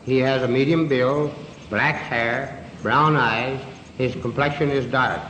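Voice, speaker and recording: Formal American male detective chief reading aloud, mid-pitched, reverberant hall, clearly noisy old radio sound. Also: fairly even intonation, takes it slowly, sharp tone, lo-fi sound. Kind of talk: formal read-out police description.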